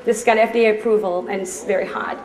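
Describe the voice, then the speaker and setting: A woman's voice: medium pitch, steady tone, quick pace. A woman in her thirties from Trinidad, giving a chatty, upbeat live cooking demonstration as a chef in a department store. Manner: chatty and upbeat, jokes with the crowd. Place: department store demo kitchen. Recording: echoey store floor.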